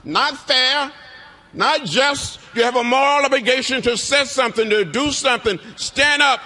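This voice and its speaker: A man with a very high, bright voice makes an impassioned statement, emphatic and preacherly, his lines climbing. He sounds forceful and ringing. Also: normal speed, a little hiss or hum. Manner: emphatic, preacherly rising delivery.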